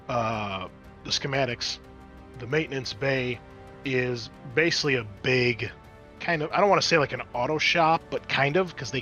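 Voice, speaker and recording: A man's voice: medium pitch, pushed and bright, highs cut off. A man running a tabletop roleplaying game as game master, switching between conversational rules talk and dramatic scene description.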